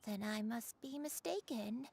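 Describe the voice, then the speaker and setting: A woman voicing a kind, forgetful grandmother in a video game, speaking slowly and musingly. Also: fairly high pitch, lively intonation, vocal fry, a little mellow, slight background noise. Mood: gentle. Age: older. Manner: slow, musing and hesitant.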